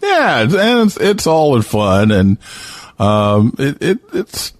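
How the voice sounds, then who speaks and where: A man's voice: medium pitch, huge pitch swings, slow pace, no echo. A man hosting a show in a casual, joking, chatty style. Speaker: podcast host. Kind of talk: casual chat.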